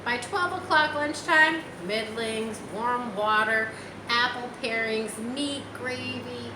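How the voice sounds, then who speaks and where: Woman, slightly high voice, children's read-aloud, explanatory asides, echoey room, loud background noise. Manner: unhurried, explanatory, talking directly to listeners. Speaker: storybook reader. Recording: roomy echo, loud vehicle-like background noise.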